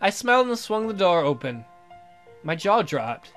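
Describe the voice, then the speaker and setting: A man's voice: high-pitched, very animated, normal speed, a bit bright, soft background music. A man hosting a gaming video, reading visual novel narration aloud in a theatrical storytelling manner.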